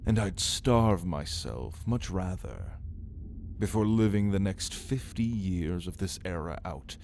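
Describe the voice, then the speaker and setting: A man playing a caged ancient vampire in an ASMR roleplay, refined and hungry, speaking in theatrical, menacing, archaic phrases with a breathy voice that often drops into a whisper. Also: deep voice, animated, takes it slowly, darkish tone, a little hiss or hum, full character voice. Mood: restrained, solemn. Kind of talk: ASMR roleplay monologue spoken to the listener.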